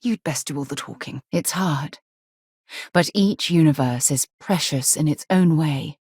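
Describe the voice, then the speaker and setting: Game casting: principled female expedition leader and scientist. Low, husky register, composed and articulate, earnest delivery, dry studio sound. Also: unhurried, a little mellow, no room sound. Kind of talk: video game companion dialogue.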